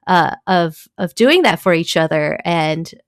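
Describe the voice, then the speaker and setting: A woman from Taiwan, a guest in a casual conversation, talking thoughtfully with many pauses, fillers and small laughs. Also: on the low side, expressive, no room sound, clean studio sound.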